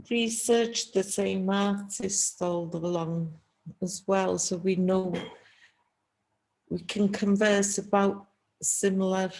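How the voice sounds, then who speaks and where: A woman artist answering on a gallery panel, halting and reflective. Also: on the low side, gravelly, darkish tone, low-quality recording. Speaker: artist in the group.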